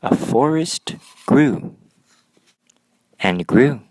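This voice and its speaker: A man reading a children's picture book aloud as an expressive storyteller, with deliberate, dramatic phrasing and pauses. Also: mid-range voice, dry recording.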